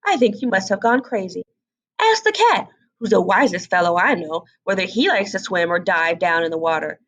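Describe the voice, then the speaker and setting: A woman's voice: medium pitch, big pitch swings, normal speed, a bit bright. A woman voicing a bossy, conceited hen in a storybook, scolding and lecturing with haughty emphasis. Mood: scornful. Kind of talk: storybook read-aloud character voice.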